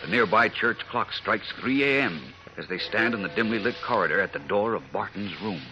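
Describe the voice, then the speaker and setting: A man's voice: lowish voice, animated, a little fast, bright, forceful tone, lo-fi sound, faint music behind. A man narrating a 1940s radio serial in a dramatic, portentous announcer's manner.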